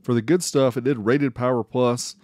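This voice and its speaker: A man's voice: medium pitch, fairly even intonation, normal speed, a little mellow, no echo, clean studio sound. A man giving a casual, informal product review voice-over as the host, with a folksy way of talking. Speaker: host reviewing car audio amplifiers.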